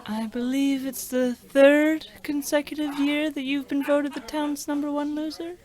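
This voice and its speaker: A woman playing a blunt, unimpressed, pill-dulled wife in a comic radio play, deadpan and belittling. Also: sits high, measured pace. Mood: blunt, patronizing. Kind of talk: comic radio play acting in old radio show vein.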